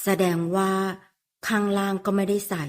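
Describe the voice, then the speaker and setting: A woman voicing an ardent young man who speaks in a slow, low murmur. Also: completely flat delivery, close to an everyday voice.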